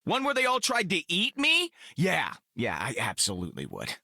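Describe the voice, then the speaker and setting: Smart-aleck space outlaw for a game, super animated, sharp bright voice, flippant slang. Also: male voice, on the high side, moderate pace, vocal fry, dry recording, clean studio sound, heavily acted. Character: cocky, wisecracking space outlaw, young man. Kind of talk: video game character lines.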